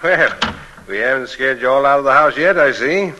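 An actor playing an affable police inspector in an old-time radio thriller, probing in a casual, unhurried way. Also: male voice, mid-range voice, animated, sharp tone, lo-fi sound, slight background noise. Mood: genial.

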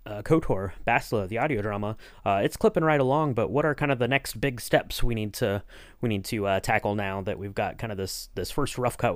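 A man's voice: on the low side, no echo. A man co-hosting a podcast as interviewer, talking casually in a rambling, enthusiastic way with many you-knows. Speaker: podcast co-host acting as interviewer.

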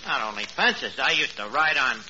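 An actor playing a brash, bumbling New York tavern manager in a 1940s radio comedy, full of boasts and mangled fancy words. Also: male voice, mid-range voice, expressive, normal speed, natural voice. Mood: boastful. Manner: wisecracking, bumbling malapropisms. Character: brash, boastful Brooklyn tavern manager wooing an old flame. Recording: noisy, muffled old radio broadcast.

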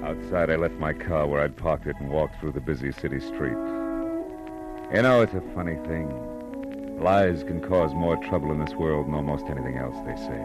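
An actor playing a tough but compassionate private detective, terse and weary, in 1940s radio noir. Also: male voice, very deep voice, normal speed, over loud music. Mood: wry narration. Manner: terse, weary, hesitant when gentle.

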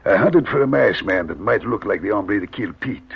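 An actor playing a weary, middle-aged American fugitive cowboy with a gruff, husky voice in a radio western. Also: male voice, fairly low pitch, animated, fairly quick, exaggerated voice. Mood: weary recounting. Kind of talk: old-time radio western acting. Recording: dull old radio recording.

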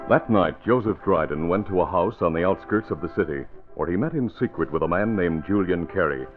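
An American man announces an old-time radio serial with polished drama. His medium-pitched voice rises and falls widely and emphatically. Music plays along, and the recording is dull and vintage. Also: expressive, fairly quick. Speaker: announcer and narrator. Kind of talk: 1940s-style radio announcing, scripted narration.

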